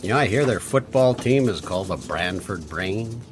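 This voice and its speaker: An actor playing a gruff, sardonic homicide detective who grumbles his way through a radio detective drama. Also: low-pitched, expressive, normal speed, over loud music. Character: gruff, sarcastic male police homicide detective.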